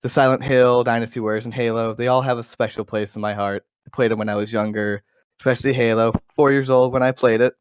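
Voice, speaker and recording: A man's voice: medium pitch, fairly even intonation, fast, clean tone, dry recording, highs cut off. A man in his twenties with an American accent, chatting casually as a gaming podcast co-host through a cheap gaming microphone. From United States. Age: 20s. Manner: occasional stutter. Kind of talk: casual chat. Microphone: low-quality gaming microphone.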